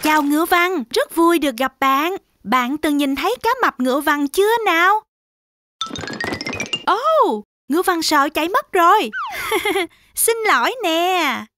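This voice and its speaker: A woman's voice: very high voice, expressive, takes it slowly. A woman narrating a children's animation in an exclamatory storytelling style, talking directly to young viewers. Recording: music behind the voice. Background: children's music.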